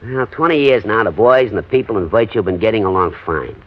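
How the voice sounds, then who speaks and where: A man's voice: fairly low pitch, lively intonation, fast, close to an everyday voice. An actor playing a shady, touchy middle-aged ex-mobster turned insurance agent in a 1950s American radio crime drama, talking in tough-guy slang. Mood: boastful. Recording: dull vintage radio recording.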